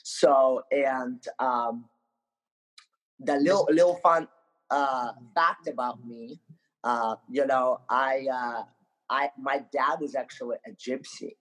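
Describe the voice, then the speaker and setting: A man from Ukraine with an Eastern European accent, telling his story casually as an interview guest, halting often and repeating words. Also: medium pitch, steady tone, a bit bright, rough recording. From Ukraine, born in Moldova. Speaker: podcast guest.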